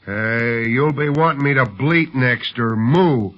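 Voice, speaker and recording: A man's voice: mid-range voice, takes it slowly, lightly acted. Folksy, grumbling comic sidekick, played by a man. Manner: folksy, slangy, griping. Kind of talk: 1930s radio acting. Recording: muffled vintage 1930s radio recording.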